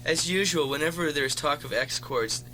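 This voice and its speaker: A man's voice: a little high, normal speed, crowd noise, poor audio. A man being interviewed as a musician guest on a campus radio show, rambling with wry jokes and asides.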